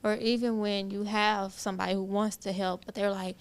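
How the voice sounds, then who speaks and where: A woman's voice: medium pitch, little pitch movement, brisk, smooth. A woman hosting a casual interview, asking questions and giving short responses. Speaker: interviewer, host.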